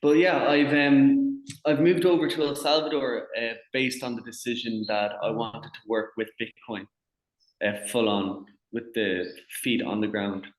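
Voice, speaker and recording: A young man from Ireland talks as an interview guest in a chatty, easygoing way. He trips over his words and repeats them as he goes. His voice sits at a middle pitch and rings in an echoey room. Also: fairly even intonation, a little slow. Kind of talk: casual interview.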